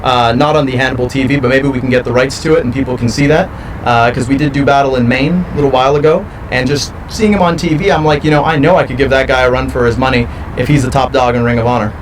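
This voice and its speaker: A man's voice: medium pitch, steady tone, quick pace, noisy background. Boastful man, distant mic. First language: not French. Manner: confident, boastful. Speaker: professional wrestler. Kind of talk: interview answers.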